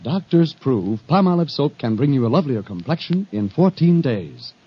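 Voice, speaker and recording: A man reading a scripted vintage radio advertisement with authoritative, deliberate emphasis. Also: medium pitch, very animated, loud music behind the voice. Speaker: commercial announcer. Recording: muffled vintage radio recording.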